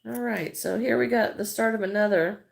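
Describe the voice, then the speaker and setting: A woman's voice: medium pitch, moderate pace, gravelly. A middle-aged Midwestern American woman chatting casually on a crochet livestream, greeting viewers by name and thinking aloud as she works.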